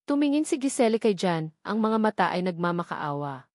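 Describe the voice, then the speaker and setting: A woman narrating a scripted romantic drama with emotive, deliberate phrasing. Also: medium pitch, sing-song, darkish tone, no echo, clean studio sound.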